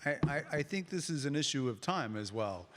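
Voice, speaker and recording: Male panel participant, conversational and thoughtful, halting phrasing, live hall acoustics. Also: medium pitch, animated, background noise. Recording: reverberant hall, live event.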